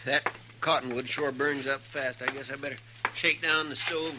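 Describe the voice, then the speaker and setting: An American actor with a rural Western drawl playing a folksy, complaining deputy in a 1950s radio drama. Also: male voice, mid-range voice, fairly even intonation, normal speed, noisy background, close to an everyday voice. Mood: cozy, plaintive. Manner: folksy, hesitant, plaintive. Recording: old radio broadcast with loud music.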